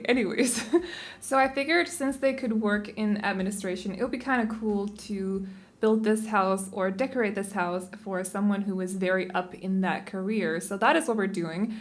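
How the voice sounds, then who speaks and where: A young Danish woman, not a native English speaker, talks casually over her speed build video, rambling and often correcting her own word choices. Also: medium pitch. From Denmark. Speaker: game building channel host.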